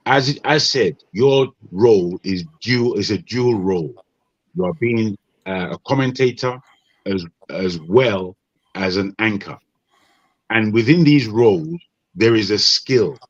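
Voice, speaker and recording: A Nigerian man on an online panel, speaking in a measured, advising way with frequent restarts. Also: lowish voice, no echo, muffled recording.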